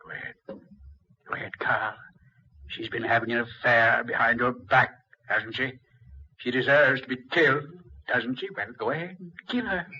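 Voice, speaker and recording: A sly devil from 1940s radio horror. His voice is extremely sharp and strident, taunting and insinuating, often half-whispered. The recording is muffled with faint noise. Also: medium pitch, normal speed, long pauses. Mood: goading. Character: Satan, sly goading male tempter urging murder.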